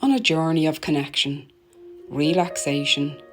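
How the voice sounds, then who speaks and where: A woman narrating a guided meditation in a soothing, gentle, deliberate manner, letting her phrases end softly. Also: low voice. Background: meditation music.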